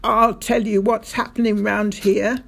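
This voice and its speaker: A woman voicing a blustering, angry rich male landowner who shouts and bullies. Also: a little high, animated, unhurried, creaky, breathy edge, voice pushed up, full character voice. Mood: furious. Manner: shouting, furious, overbearing.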